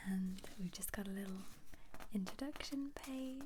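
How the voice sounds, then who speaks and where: A woman whispering in a gentle, breathy, chatty ASMR style, as if showing someone through a book. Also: mid-range voice, normal speed, background noise. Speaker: ASMR video host. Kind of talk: soft-spoken ASMR book flip-through.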